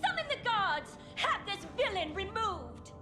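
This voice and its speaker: An actress playing a young highborn duchess in a video game, dramatic and anxious, with formal, archaic, theatrical delivery. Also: female voice, very animated, a little slow, over loud music, exaggerated voice. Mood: imperious anger.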